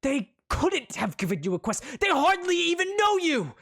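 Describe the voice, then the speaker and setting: A man's voice: sits high, sing-song, normal speed, pitched above the performer's own voice. A storyteller voicing a haughty, spoiled young male prince who huffs and sneers. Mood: indignant. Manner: huffy, sneering and indignant.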